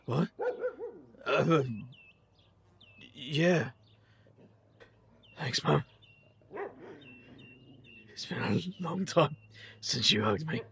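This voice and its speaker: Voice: mid-range voice, animated, drawn-out words, creaky, pauses often, fairly bright tone, full character voice. An actor playing an earnest, grieving young magician son with a wry, determined streak in an audio drama. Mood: subdued, tender.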